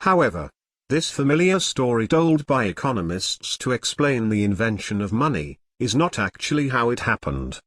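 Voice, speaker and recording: A man's voice: medium pitch, sing-song, normal speed, fairly bright tone, no echo, clean studio sound. A man giving scripted explainer narration in an earnest, formal, teacherly manner.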